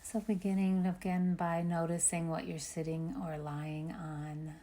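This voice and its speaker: A woman meditation teacher leading a guided meditation with gentle, unhurried instructions and long pauses. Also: lowish voice, level tone.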